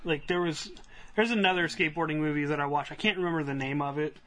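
Higher-pitched man with a bright voice. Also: steady tone, fast. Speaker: podcast co-host and editor. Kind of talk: casual chat about films.

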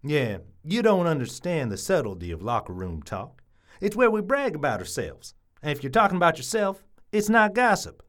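Actor as a higher-pitched, cocky guy. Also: sing-song, moderate pace. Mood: smug. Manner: smug, dismissive. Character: cocky, boastful, chauvinist guy. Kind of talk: comedic audio drama acting.

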